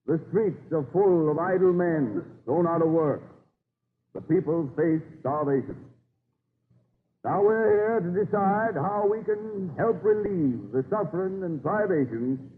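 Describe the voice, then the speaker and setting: A man's voice: fairly high pitch, long reverb tail, phone-quality sound. Dignified colonial statesman, thin old radio sound. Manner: formal, oratorical. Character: dignified colonial Virginia statesman, a fond father. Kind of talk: 1930s radio drama acting. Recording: thin, noisy 1930s radio recording.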